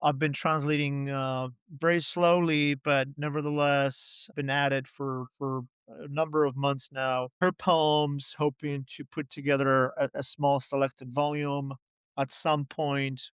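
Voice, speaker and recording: A man's voice: on the high side, little pitch movement, unhurried, a bit bright, clean tone, dry recording. A middle-aged man from Poland, a poet and translator, answering interview questions in a reflective way with many "you know" and "right?" asides.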